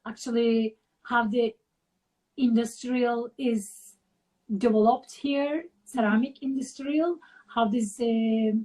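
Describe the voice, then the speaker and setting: Turkish-accented woman guest, middle-aged, slow and halting, pitched a touch high. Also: steady tone, dark tone, low-quality recording. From Turkey. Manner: slow, halting, searching for words. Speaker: guest artist. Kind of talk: interview over a live video call.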